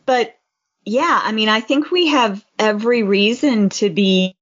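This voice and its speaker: A woman's voice: on the high side, measured pace, dull audio. A woman co-hosting a football podcast in a casual, conversational style. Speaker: podcast co-host.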